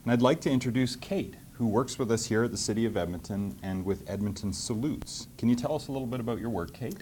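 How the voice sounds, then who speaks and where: A man with a Canadian accent speaking as a mayor to schoolchildren, in a measured, simply worded way. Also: mid-range voice, darkish tone, a little hiss or hum. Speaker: mayor hosting and reading to children. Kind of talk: public talk and storybook reading to schoolchildren.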